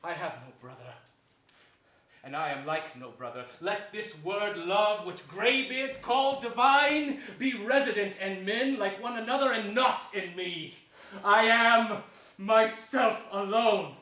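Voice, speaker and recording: Slow, high-pitched gloating villain duke. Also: male voice, bright, forceful tone, heavily acted. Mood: brooding, scheming. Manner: mocking, declamatory, with drawn-out sinister emphasis. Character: gloating, murderous hunchbacked villain duke, scornful and savage. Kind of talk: theatrical Shakespearean stage acting. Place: indoor theater stage before an audience. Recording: roomy theater stage, distant mic.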